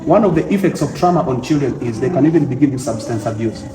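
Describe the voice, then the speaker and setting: A man's voice: medium pitch, animated, quick pace, noisy background. Ugandan man interviewing in an echoey room. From Eastern Uganda. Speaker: devotional show host. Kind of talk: conversational interview.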